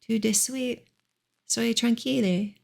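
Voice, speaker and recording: A woman's voice: on the high side, fairly even intonation, slow, gravelly, darkish tone, exaggerated voice. A woman reading a storybook part as a delighted nurse, effusive and drawn out. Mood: warm reassurance. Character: delighted, gushing French nurse in a novel.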